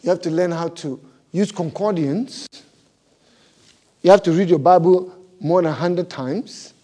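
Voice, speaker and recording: Animated pastor with a non-native English accent. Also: male voice, fairly high pitch, measured pace. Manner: animated, laughing storyteller, big swings in loudness. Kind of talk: sermon.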